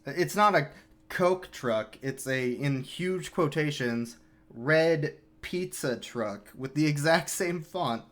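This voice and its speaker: A man's voice: a little high, takes it slowly. A man giving casual, joking live speedrun commentary with a sarcastic edge. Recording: game music playing behind.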